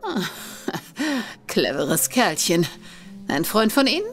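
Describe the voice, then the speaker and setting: A teasing worldly madam. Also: female voice, medium pitch, big pitch swings, slow pace, soft background music.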